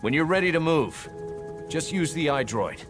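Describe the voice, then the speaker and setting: An actor playing a cool, methodical military adviser in a video game, speaking in a measured, instructive way. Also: male voice, on the high side, animated, over music, natural voice. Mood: resigned, instructing. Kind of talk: dramatic video game cutscene acting.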